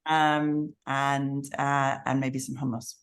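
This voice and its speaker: Woman, from England, deep voice, video call, earnest. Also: little pitch movement, slow pace. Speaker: caller joining a cook-along. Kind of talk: casual video-call chat.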